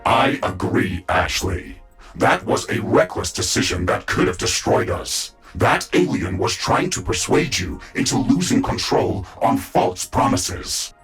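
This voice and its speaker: A calm machine intelligence built into a battle mech, speaks in a low, synthetic male voice. Its reports are formal, clipped and matter-of-fact, yet the pitch moves in wide steps. Music plays under this sci-fi game dialogue. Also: unhurried, creaky, breathy edge, exaggerated voice, rough recording. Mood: serious, analytical.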